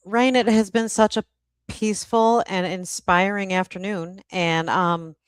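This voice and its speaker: A woman hosts a casual livestream in an average-pitched voice. She takes her time, speaking slowly as she moves things along. The sound is dry, with very little room to it. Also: steady tone, smooth, no room sound. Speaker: host, publisher.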